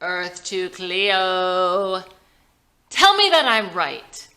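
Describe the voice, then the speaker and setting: A woman acting out a rowdy, drunken male rock singer, crude and goading, with loud taunts and drawn-out shouts in a script reading. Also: fairly low pitch, sing-song, exaggerated voice. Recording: roomy echo.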